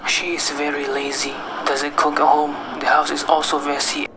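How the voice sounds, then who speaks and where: Casting: male actor as irritable, self-righteous husband for an amateur radio drama, slightly high male voice, noisy take. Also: monotone, moderate pace, natural voice. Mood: indignant. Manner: irritated, domineering. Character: short-tempered, lazy, self-righteous husband.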